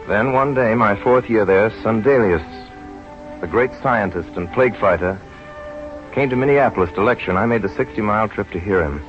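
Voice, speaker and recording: An actor playing an earnest, troubled country doctor in an old radio drama. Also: male voice, lowish voice, lightly acted. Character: earnest, troubled male country doctor narrating his own life. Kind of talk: 1930s-40s radio drama acting. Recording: muffled old radio recording, faint music.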